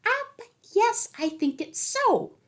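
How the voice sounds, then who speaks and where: A woman voicing a tiny, mischievous male leprechaun in a storybook, with a shrill, theatrical delivery. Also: sing-song, takes it slowly, a bit bright, exaggerated voice. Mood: sly.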